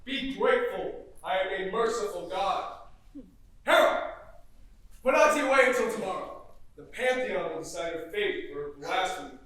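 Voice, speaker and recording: A man's voice: high-pitched, expressive, moderate pace, fairly bright tone. A young man playing a wrathful, domineering father god on stage, declaiming imperiously. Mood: furious. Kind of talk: school stage play acting. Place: theater stage. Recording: echoey theater, distant mic, faint noise.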